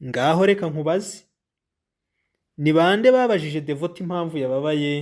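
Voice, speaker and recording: Higher-voiced Rwandan man reading to children. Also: a little mellow. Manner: deliberate, instructive, addressing young learners. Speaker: teacher reading a children's story aloud. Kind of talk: read-aloud lesson with comprehension questions.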